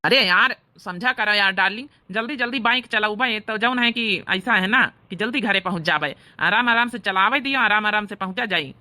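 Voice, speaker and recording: A woman's voice: medium pitch, fairly quick, few pauses, sharp tone. A woman voicing an easygoing married brother-in-law in an Awadhi cartoon comedy, sweet-talking and indulgent. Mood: flirty, soothing.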